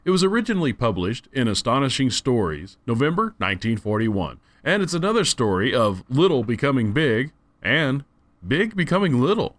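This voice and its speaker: A man's voice: mid-range voice, fairly bright tone. A man giving an enthusiastic, conversational audiobook review. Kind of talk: casual spoken review.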